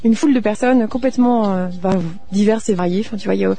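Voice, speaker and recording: Female radio reporter from France. Brisk, fry-edged voice, informal chatty storytelling. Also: mid-range voice, dark tone. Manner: informal, chatty, addresses listeners directly. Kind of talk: casual spoken storytelling and interviewing.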